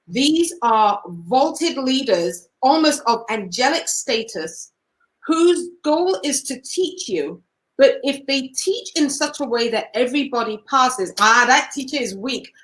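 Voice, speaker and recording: A woman's voice: on the high side, fairly bright tone, rough recording. A woman from Cameroon, an interview guest telling her story in an earnest, reflective way.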